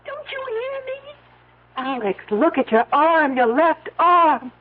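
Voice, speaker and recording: An actress playing an excitable, emotional farm wife in a 1970s radio drama. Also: female voice, high voice, takes it slowly, exaggerated voice. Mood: amazed. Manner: emotional, exclamatory. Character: excitable farm wife, amazed and giddily joyful. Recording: tinny, faintly noisy old radio recording.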